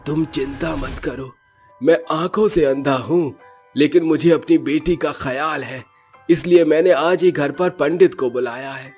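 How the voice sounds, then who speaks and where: A man voicing a blind, poor, elderly father who speaks in a calm, reassuring way. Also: a little high, a little slow, soft background music, lightly acted.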